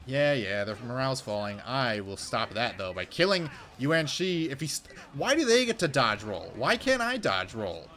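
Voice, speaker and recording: A young man rambling through casual gameplay commentary as a game streamer, swearing when frustrated, his voice going hoarse. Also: medium pitch, very animated, normal speed, few pauses, pushed and bright. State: losing his voice.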